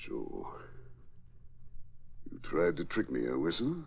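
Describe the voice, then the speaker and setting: A haughty, double-dealing spy in a vintage radio thriller. The voice is low and husky, swinging widely as it bristles with indignation. The old recording sounds dull. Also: male voice, wildly swooping pitch, takes it slowly, exaggerated voice. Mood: scornful. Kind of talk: 1940s radio drama acting.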